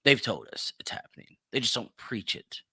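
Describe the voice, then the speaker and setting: Male podcast commentator, emphatic and opinionated, sharp bright tone, close dry sound. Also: mid-range voice, sing-song, moderate pace, gravelly, husky, no room sound. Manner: emphatic, repeats phrases for stress. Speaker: podcast host.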